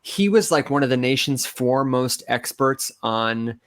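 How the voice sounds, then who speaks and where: A man with a nasal, reedy voice and a slight Michigan accent, co-hosting a casual chat and telling animated stories with frequent laughter. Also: mid-range voice, unhurried, no echo, studio quality. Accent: Michigan, mostly neutral American. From Las Vegas, raised in Michigan. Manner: animated storyteller, laughs often. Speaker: co-host.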